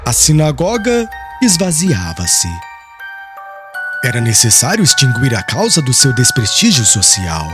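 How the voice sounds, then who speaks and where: A man's voice: medium pitch, huge pitch swings, measured pace. A man narrating an audiobook in a solemn, formal storytelling manner. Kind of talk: scripted literary narration. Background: music.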